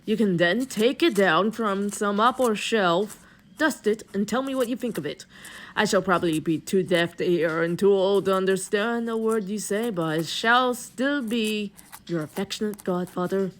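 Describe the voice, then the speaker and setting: A woman narrating a book in a deliberate, expressive, dramatic storytelling style. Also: medium pitch. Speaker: narrator and host of a reading series.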